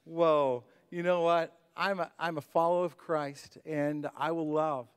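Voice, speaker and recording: A man's voice: a little high, fairly even intonation, unhurried, clear, resonant tone. A middle-aged man, a pastor praying and preaching on a church stage, earnest and repeating his words as he presses a point. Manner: earnest, repeats words, rises to fervent emphasis. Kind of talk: sermon and prayer, then stage conversation. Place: church stage, large room. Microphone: handheld mic.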